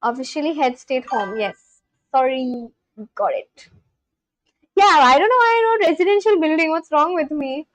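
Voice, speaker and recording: A young woman from India speaks in a Delhi-accented English, her voice sitting high and bright in pitch. She talks casually and chattily to her livestream audience, reading their comments aloud and tossing in quick apologies. The sound is dry, with no room echo. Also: lively intonation. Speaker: live streamer. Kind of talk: casual livestream chat with viewers.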